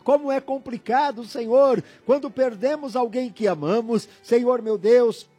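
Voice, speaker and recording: A man with a very high, husky voice prays fervently, repeating his appeals and sliding into sung lines. Soft music plays under him throughout. His delivery is an intercessory prayer led by a preacher. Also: a little slow. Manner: intercessory, repeating phrases, drifting into song.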